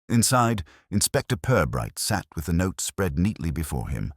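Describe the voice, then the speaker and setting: A man narrating a scripted mystery story in a measured, wry literary manner. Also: sits low, very animated, no room sound, clean studio sound. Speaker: audiobook narrator.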